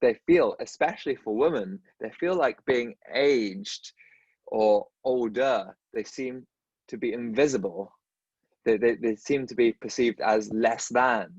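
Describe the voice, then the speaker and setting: A man's voice: mid-range voice, a little slow, a little mellow, slightly rough, no room sound, highs cut off. A man appearing as a guest, a dentist, talking in casual conversation with a stutter that repeats the starts of words.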